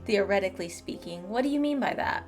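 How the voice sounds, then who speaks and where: A woman's voice: mid-range voice, expressive, fast talker. A woman giving casual, playful commentary as she plays through a video game. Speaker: gaming streamer. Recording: faint game music behind.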